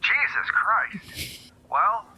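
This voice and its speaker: Blunt male handler, acted by a man at a game table, very bright forceful voice, halting informal delivery. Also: high-pitched, very animated, husky, full character voice, low-quality recording. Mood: matter-of-fact. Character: blunt male agency handler, matter-of-fact boss on the phone. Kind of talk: improvised tabletop roleplay.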